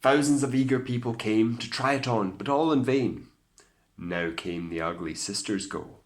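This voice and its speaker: A Scottish man reading aloud as a teacher to children, in an expressive, theatrical storytelling style with hushed asides. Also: medium pitch, normal speed.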